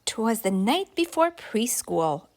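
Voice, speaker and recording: A woman reading a rhyming picture book to preschoolers in an expressive, deliberate, sing-song storytelling way. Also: fairly high pitch, soft background music. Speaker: children's story reader and channel host.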